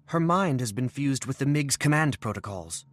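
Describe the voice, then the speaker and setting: A man voicing a matter-of-fact, analytical gnomish scientist in a video game, with a clinical, measured manner. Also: mid-range voice, animated, no echo, faint music behind, lightly acted. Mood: clinical reassurance. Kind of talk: video game cutscene voice acting.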